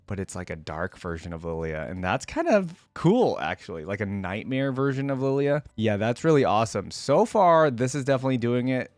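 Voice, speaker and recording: A man's voice: mid-range voice, very animated, fairly quick, hardly any pauses, no echo. A man giving casual, informal reaction commentary as a video host, musing aloud as he goes.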